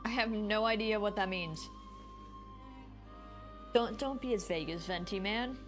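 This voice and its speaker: A woman's voice: medium pitch, measured pace. A woman game streamer giving casual live commentary and reading along with dialogue. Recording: game music behind the voice.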